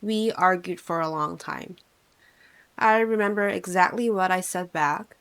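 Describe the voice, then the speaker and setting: A woman's voice: on the low side, a little slow, gravelly, clear, resonant tone. Wry, reflective young woman from Korea. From South Korea. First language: English. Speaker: English teacher and channel host. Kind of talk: personal storytelling to camera.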